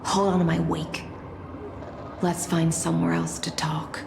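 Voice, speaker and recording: An actress playing an aloof, poised female knight of the deep sea in a video game, speaking in a measured, formal, coolly wry way. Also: little pitch movement, gravelly, noisy background, exaggerated voice. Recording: echoey voice over background music.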